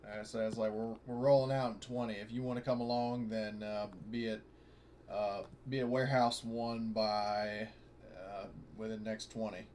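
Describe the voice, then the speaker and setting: A man with a fairly low voice talks casually through a tabletop role-playing session. He rambles and corrects himself as he goes, and faint noise sits behind his voice on the online call. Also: level tone, normal speed, a little mellow. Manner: rambling, hesitant, frequently self-correcting. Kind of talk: casual in-character and out-of-character game talk. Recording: clearly noisy online voice chat.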